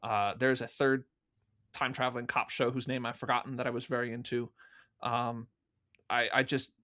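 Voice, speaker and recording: Bright-voiced man chatting as an interview guest. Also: mid-range voice, level tone, normal speed. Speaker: interview guest, fan audio drama producer. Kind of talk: casual conversational explanation.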